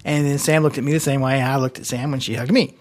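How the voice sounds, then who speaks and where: A man's voice: medium pitch, steady tone, quick pace. A man co-hosting a podcast tells a workplace story in a loose, rambling way. He keeps backtracking and adding asides as he goes. His voice sits in an ordinary middle register. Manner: rambling, self-correcting anecdote with asides. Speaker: podcast co-host. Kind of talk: casual chat, storytelling. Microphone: studio microphone plugged into computer.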